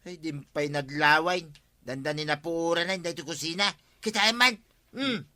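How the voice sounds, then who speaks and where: A man's voice: a little high, normal speed, bright, forceful tone. A man playing an exasperated villager in a radio comedy drama, complaining and exclaiming with an Ilocano Filipino accent. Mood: alarmed, scolding.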